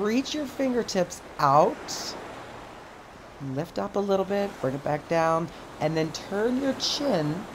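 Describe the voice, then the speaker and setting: A middle-aged woman teaching a stretching class outdoors on a sandy beach, calmly cueing each movement step by step. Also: fairly low pitch. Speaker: stretch instructor. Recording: outdoor surf noise behind the voice. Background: ocean waves.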